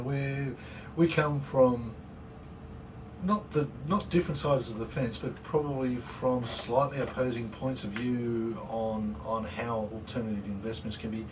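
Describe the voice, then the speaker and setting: A man with an Australian accent hosting a radio talk show, relaxed and hesitant, with many "um"s. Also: mid-range voice, recorded from a distance, background noise. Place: community radio studio.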